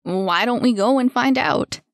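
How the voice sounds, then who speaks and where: A woman's voice: on the high side, measured pace, no room sound, natural voice. A woman voicing a cheeky, laid-back young man with a teasing, casual manner.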